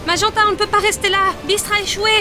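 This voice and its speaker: An anxious woman in a fantasy audiobook , voiced by an actress, talks very fast and high. She is urgent and cautious, with music clearly audible behind her. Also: little pitch movement. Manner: urgent, worried. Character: anxious, cautious woman, companion of an exhausted monster. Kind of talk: dramatic audiobook dialogue.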